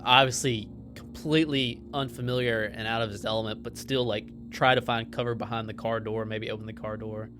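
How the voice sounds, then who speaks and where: A man's voice: medium pitch, little pitch movement, fairly quick, few pauses. A man playing a tabletop game, casually and tentatively describing what his character does. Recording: music playing behind the voice.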